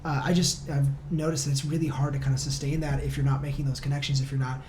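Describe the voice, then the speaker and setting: A man's voice: medium pitch, level tone, fast, darkish tone, slight background noise. A man speaking as a podcast guest in casual chat, explaining things earnestly with some slang. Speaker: band member, podcast guest.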